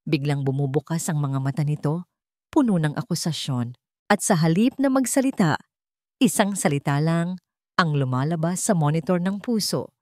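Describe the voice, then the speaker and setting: A woman with a Filipino accent reads a scripted dramatic story in a measured, deliberate way. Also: deep voice, lively intonation, warm tone, no echo, clean studio sound. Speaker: narrator.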